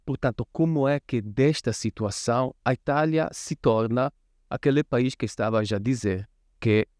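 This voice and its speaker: Middle-aged guy, explaining like a professor, measured, medium pitch, no room echo. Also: darkish tone, studio quality. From Livorno, Italy. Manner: measured, academic. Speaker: guest, sociology professor. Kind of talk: explanatory interview answer.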